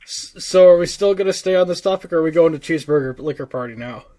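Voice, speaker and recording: American male co-host, voice a bit high, casual banter, halting delivery with repeated words. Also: dark tone. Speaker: podcast co-host.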